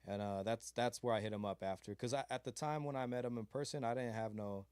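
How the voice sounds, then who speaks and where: A man's voice: medium pitch, quick pace, darkish tone, smooth, no room sound. A man from California's Mojave desert, a beat maker being interviewed, talking in a laid-back, rambling way full of fillers and slang. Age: adult with a child. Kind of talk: casual interview chat.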